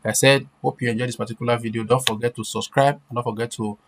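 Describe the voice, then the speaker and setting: Very deep-voiced woman giving a tutorial. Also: steady tone, quick pace, slight background noise. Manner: repetitive, checking with listeners often. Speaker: tutorial presenter. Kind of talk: informal screen-share software tutorial.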